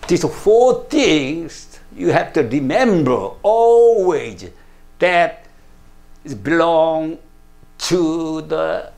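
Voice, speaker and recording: An older male pastor with a Korean first language delivers a sermon in a plain studio, speaking very slowly with a gravelly, fairly high voice. He leans hard on each phrase and drops in hallelujahs and amens as he goes. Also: animated, a little mellow, faint music behind. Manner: slow, emphatic preaching with frequent hallelujahs and broken English. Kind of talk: sermon to camera. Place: plain studio room, indoors.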